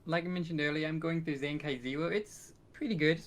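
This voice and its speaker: Higher-voiced man in casual chat. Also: steady tone, brisk, a bit bright. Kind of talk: casual chat about favourites.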